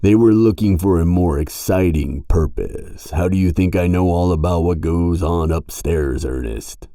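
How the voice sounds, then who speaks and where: Man playing a very deep, sardonic grim reaper. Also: unhurried, dark tone, dry recording, clean studio sound. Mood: defensive, teasing. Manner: slangy, teasing, argumentative. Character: sardonic, brash grim reaper, casual and irreverent. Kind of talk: audio roleplay acting.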